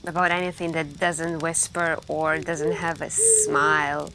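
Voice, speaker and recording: A woman doing soft-spoken ASMR storytelling roleplay as a girlfriend by a campfire, hushed and intimate, with long pauses and some whispering. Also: low voice, very animated. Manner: hushed, drawn-out, pausing, intimate, with stretches of whispering. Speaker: roleplay storyteller playing a girlfriend on a camping trip. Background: campfire crackling and night ambience.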